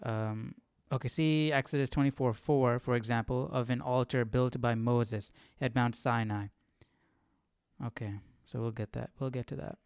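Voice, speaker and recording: Clear, dry-voiced man puzzling aloud. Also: medium pitch, steady tone, normal speed, a little mellow, dry recording. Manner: questioning, puzzled, informal. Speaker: Bible study livestream host. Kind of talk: casual thinking aloud, reading scripture.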